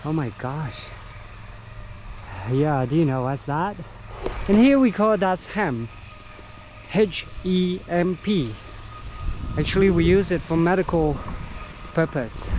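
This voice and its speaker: A middle-aged man whose first language is Vietnamese, working as a local guide and narrating a casual vlog outdoors in an unhurried, halting way with many fillers. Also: a little high, lo-fi sound, noisy background. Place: outdoors on countryside trails.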